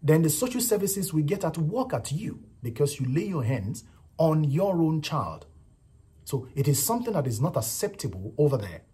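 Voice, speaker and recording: Husky Nigerian man giving opinions. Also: on the high side, sing-song, normal speed, warm tone. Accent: Nigerian English. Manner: repetitive, emphatic, frequent "you know" and "right". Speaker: vlogger commenting on a viral clip. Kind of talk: casual opinion commentary.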